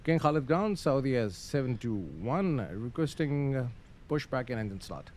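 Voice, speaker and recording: A man's voice: mid-range voice, expressive, moderate pace, a little mellow. A man giving casual live-stream commentary on a flight simulator, muttering through procedures hesitantly with some radio phraseology. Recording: faint background noise.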